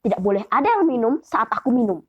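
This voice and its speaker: Woman acting vain storybook giraffe, scornful, bossy, quick to anger, high raised voice, slightly husky. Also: big pitch swings, muffled recording. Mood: angry. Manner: haughty, scornful, loud exclamations. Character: vain, bossy, hot-tempered tall male giraffe.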